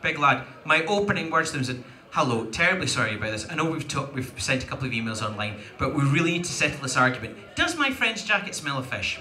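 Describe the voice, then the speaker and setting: Echoey convention room, Scottish man, bright tense voice, casual host, digressive anecdotes, wry. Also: fairly high pitch, very animated, quick pace, few pauses. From Scotland. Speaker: panel host. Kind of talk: casual chat and anecdotes to an audience.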